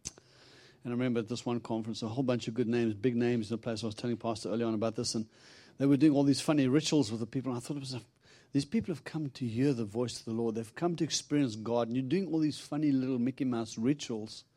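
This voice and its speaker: A man's voice: mid-range voice, quick pace, warm tone, no room sound. A middle-aged man from South Africa with a Texan accent, preaching a chatty, humorous sermon and acting out his talks with God. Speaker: visiting preacher. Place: church.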